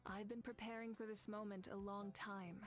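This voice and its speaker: A woman playing a solemn, duty-bound war-goddess ruler in a video game, speaking in a measured, grave way. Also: mid-range voice, level tone, natural voice. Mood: grave, resolute. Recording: background music under the voice.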